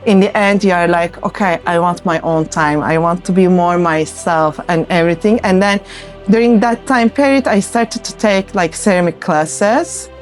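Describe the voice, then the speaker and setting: A woman's voice: on the low side, normal speed. Turkish-accented woman over background music. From Turkey. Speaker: ceramic artist, interview guest. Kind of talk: casual interview chat about own work.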